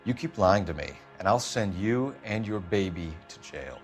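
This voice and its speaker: A man's voice: fairly low pitch, expressive, natural voice. A man playing a hard-nosed police detective in a crime game, pressing his point sternly. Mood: threatening. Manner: stern, pressuring, deliberate emphasis. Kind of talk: dramatic game acting. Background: background music.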